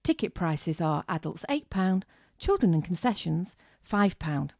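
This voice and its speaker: A woman reads a scripted promotional announcement. Her voice is mellow and mid-pitched with a creaky edge, in a dry, close recording. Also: big pitch swings, measured pace, dry recording.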